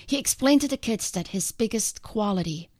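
A woman's voice: mid-range voice, normal speed, no echo, clean studio sound. Congested woman hosting a reflective podcast. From outside the country she now lives in. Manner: calm, earnest and reflective. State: congested from a sinus infection. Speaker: podcast host. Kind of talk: reflective solo podcast monologue.

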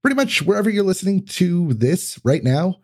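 A man's voice: high voice, very animated, fairly quick, a little mellow. A man talking as a podcast guest, explaining things in a casual, conversational way.

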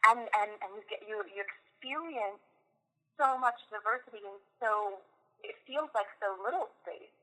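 A woman's voice: medium pitch, moderate pace, bright, forceful tone. An American woman talking as an interview guest over a phone line, enthusiastic, often repeating words as she gathers her thoughts. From USA. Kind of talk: casual interview chat.

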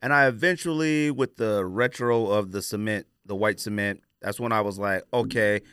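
A man's voice: lowish voice, normal speed, a bit bright, smooth, no echo, studio quality. A man chatting casually and informally on a sneaker podcast, with plenty of slang.

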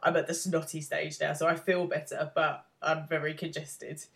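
A woman's voice: deep voice, flat delivery, moderate pace, gravelly, fairly bright tone. A British woman co-hosting a podcast in casual chat, giggly and self-deprecating, with a blocked nose from a cold. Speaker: podcast co-host.

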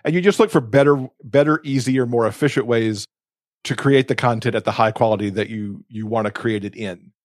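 A man's voice: medium pitch, a little fast, dry recording, studio quality. A man chatting casually as a podcast co-host, speaking in a reflective, earnest way.

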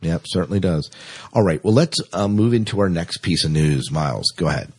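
A man's voice: sits low, brisk, breathy edge. A man hosting a podcast, chatting casually and enthusiastically as a science fiction fan, often repeating himself for emphasis. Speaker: podcast host. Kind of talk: casual fan discussion of sci-fi TV.

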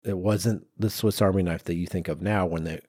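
A man's voice: low-pitched, steady tone, a little fast, mellow, husky, no echo, studio quality. A middle-aged man co-hosting a podcast, telling researched stories informally with personal asides. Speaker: podcast co-host. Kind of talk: conversational storytelling from research.

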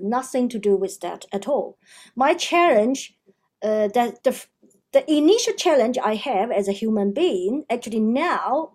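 A woman with a Chinese accent, a non-native English speaker, answering interview questions haltingly with many fillers and restarts. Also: fairly high pitch, a little mellow. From China. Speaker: guest.

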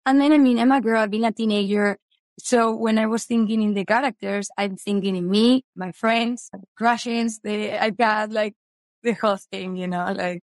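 A woman's voice: mid-range voice, steady tone, moderate pace, dry recording. Woman, Spanish accent, 30s, guest author, earnest and eager, dry recording. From Spain. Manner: earnest, eager, with non-native phrasing. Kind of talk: casual interview chat.

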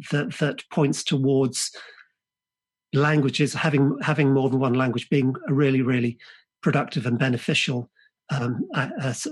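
Husky guy, polish first language, podcast guest, keeps restarting and repeating words. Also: medium pitch. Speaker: guest author. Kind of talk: podcast interview.

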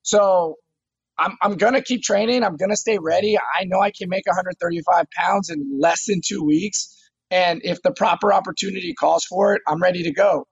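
A man's voice: sits high, normal speed, gravelly, slightly rough, dry recording, muffled recording. A man in his thirties, a fighter talking casually in an interview, eager and often repeating words as he starts a phrase. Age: 30s. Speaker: MMA fighter guest.

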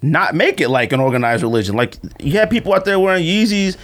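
A man's voice: a little high, animated, quick pace. A man hosting a podcast in casual, slangy, conversational talk. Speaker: podcast host. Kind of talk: casual sneaker podcast chat.

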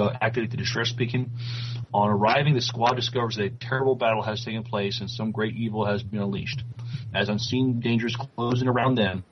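A man co-hosting a podcast, reading news and chatting, with halting delivery when reading aloud. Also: lowish voice, background noise. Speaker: podcast co-host. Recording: muffled, dull lo-fi audio.

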